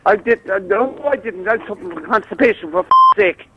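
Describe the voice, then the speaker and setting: A man's voice: high-pitched, fairly quick, slight background noise. A man with a Cork accent on the telephone, arguing bluntly and indignantly with plenty of swearing. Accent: Cork, Ireland. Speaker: prank call victim. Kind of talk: heated phone conversation. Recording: muffled band-limited phone line.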